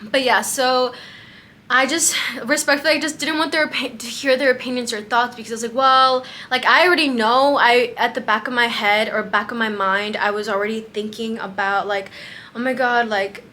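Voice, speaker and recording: A woman's voice: on the high side, fairly even intonation, normal speed, pushed and bright, a little hiss or hum. A young American woman whose first language was Spanish, chatting casually about her travels with lots of fillers and acted-out quotes. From United States. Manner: chatty, many "like" fillers, acts out quoted dialogue. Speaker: travel vlogger. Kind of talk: casual storytelling vlog.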